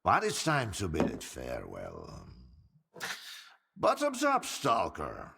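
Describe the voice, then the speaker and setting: An actor playing a boozy, sardonic older scientist in a video game, theatrical and philosophising, with a husky, gravelly voice. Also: male voice, deep voice, huge pitch swings, slow, sharp tone, in a large hall, full character voice. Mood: teasing, dismissive. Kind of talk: video game cutscene acting.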